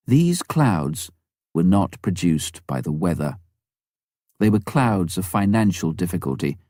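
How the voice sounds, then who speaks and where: Male narrator, low register, dry close sound, measured and deliberate delivery for memoir audiobook. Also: dark tone, no echo.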